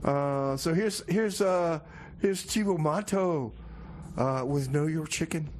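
Slightly high-voiced male radio co-host. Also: slow pace, a little mellow, slight background noise. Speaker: radio music show co-host. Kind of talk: casual comedic banter and song back-announcing.

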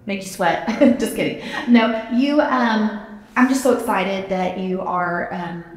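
A woman's voice: mid-range voice, animated, slow pace. Upbeat thirties woman host, casual chatty interviewer, echoey hall sound. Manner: chatty, upbeat, with many um and like fillers. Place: large indoor gym. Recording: echoey gym with long reverb.

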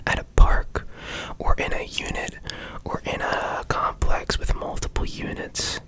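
A man guiding a meditation in ASMR style, in a soft breathy whisper with gentle, soothing instruction, close to the microphone. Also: rough recording. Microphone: close studio microphone.

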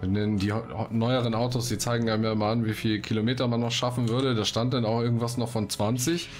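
A man's voice: medium pitch, little pitch movement, quick pace, faint music behind. A man doing casual let's play commentary, chatty and colloquial, often breaking off into exclamations. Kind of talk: casual gaming commentary, reading quests aloud. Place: room at home. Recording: clear background noise, typing.

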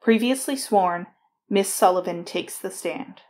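A woman narrates in a formal, scripted style. Her voice sits at a medium pitch as she sets out proceedings for an audio drama. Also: unhurried, a little mellow, a little hiss or hum.

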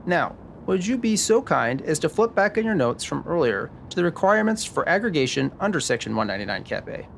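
A man playing a genial, sharp tax expert in a scripted noir radio drama, upbeat and explanatory. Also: a little high, sing-song, fairly quick, gravelly, slight background noise, exaggerated voice. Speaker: podcast host acting in a radio drama.

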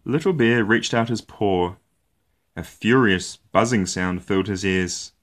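A man reading a children's picture book aloud as host, with an expressive, deliberate storytelling delivery. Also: fairly low pitch.

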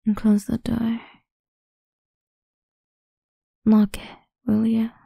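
A woman playing a possessive, seductive goth vampire idol in an intimate ASMR roleplay, breathy and husky, often whispering, slow and teasing, close on the mic. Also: a little high, completely flat delivery, mellow, heavily acted. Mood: teasing, inviting. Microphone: close studio-style microphone, dry.

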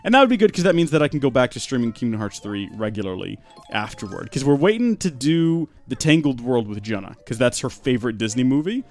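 Animated rambling man streaming a game. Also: mid-range voice, fast, soft background music. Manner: rambling deadpan joking, animated. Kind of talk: live gameplay commentary.

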